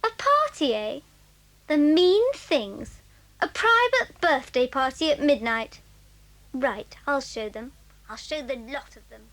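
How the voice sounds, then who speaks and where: A woman playing a nosy, spiteful English schoolgirl with a sharp, imperious manner in a children's audio drama. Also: sits high, very animated, slow pace, noisy background, heavily acted. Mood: spiteful, plotting.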